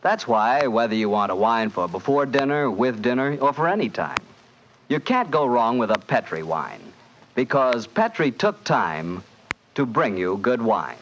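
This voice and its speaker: A man's voice: medium pitch, sing-song, a little slow, fairly bright tone. An American man hosting in a polished, salesmanlike 1940s radio announcing style. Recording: muffled, noisy 1940s radio broadcast.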